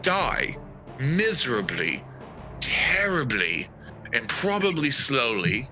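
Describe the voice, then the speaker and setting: A man playing a tabletop roleplaying game, narrating his character's moves and lines in a halting, think-aloud way. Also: medium pitch, sing-song, sharp tone. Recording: music playing behind. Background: background music.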